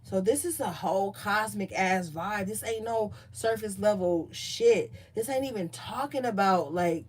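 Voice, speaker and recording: A woman giving a casual tarot reading, chatty and profane, reading card meanings aloud more calmly. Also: mid-range voice, measured pace, few pauses, a little hiss or hum. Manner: loose, profane, chatty asides. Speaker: tarot reader.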